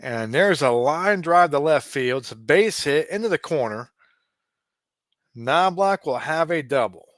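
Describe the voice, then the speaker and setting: A man in his late forties doing casual play-by-play of a simulated baseball game, relaxed and chatty with frequent asides. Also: fairly high pitch, unhurried, no echo, clean studio sound. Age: 40s. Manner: relaxed, chatty, given to asides and small restarts.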